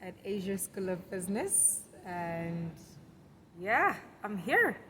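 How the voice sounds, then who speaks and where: A young woman from Zimbabwe, a podcast guest who talks in a relaxed, storytelling way and laughs easily. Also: mid-range voice, slow, a little hiss or hum. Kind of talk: conversational interview.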